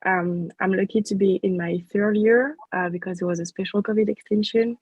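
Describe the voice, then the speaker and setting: Husky woman from france, twenties, quiet trailing endings, dry sound. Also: medium pitch, steady tone, normal speed, no echo, dull audio. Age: 20s. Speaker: au pair. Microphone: video call.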